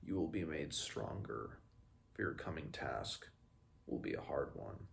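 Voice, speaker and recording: A cold, imperious storybook queen read by a young man speaks in a very low register with a gravelly creak. The delivery is measured and commanding. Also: lively intonation, full character voice. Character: cold, imperious immortal queen in a silver mask.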